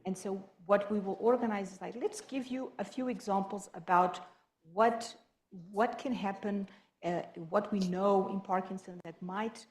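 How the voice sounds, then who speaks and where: Fast-talking woman. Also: mid-range voice. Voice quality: quick, halting delivery with many restarts. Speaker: health professional. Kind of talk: support group presentation. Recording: echoey room.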